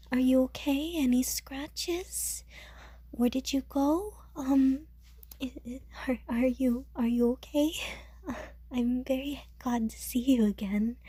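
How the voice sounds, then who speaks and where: A woman playing an anxious, gentle, doting young woman who fusses with stammering concern in an audio roleplay. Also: high voice, slightly rough, no room sound, full character voice. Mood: worried, relieved. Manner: fretful, stammering, rapid questions.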